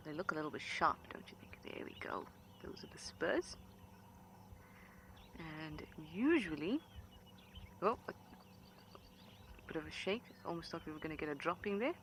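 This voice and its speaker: A woman guiding a live game drive, talking quietly and playfully, often close to a whisper, outdoors in the bush. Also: deep voice, expressive, moderate pace, pauses often, slight background noise. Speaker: safari guide.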